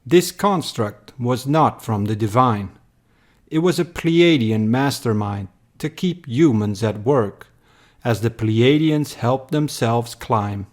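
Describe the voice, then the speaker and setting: Man, mid-pitched, very slow pace, solemn, measured poetry narration. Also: lively intonation, darkish tone. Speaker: narrator, poem reader. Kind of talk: scripted dramatic poetry narration.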